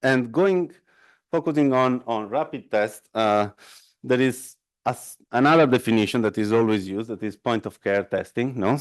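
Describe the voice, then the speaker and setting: A man in his thirties from northern Italy, speaking English with an Italian accent as an invited lecturer, conversational and relaxed, often ending points with "no?". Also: mid-range voice, no room sound. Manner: conversational, frequent fillers and tag "no?". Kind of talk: academic lecture with casual asides. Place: lecture room at a workshop.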